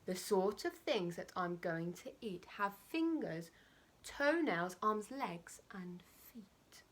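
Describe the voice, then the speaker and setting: A woman voicing an enormous, sly male crocodile in a children's storybook, slow, low and often breathy, relishing each word with menace. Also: animated.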